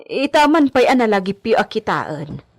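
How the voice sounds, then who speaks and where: An actress with an Ilocano accent playing a gossipy, respectful and easily startled housemaid in a radio drama, full of asides and exclamations. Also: female voice, mid-range voice, very animated, normal speed, dry recording. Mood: curious, muttering.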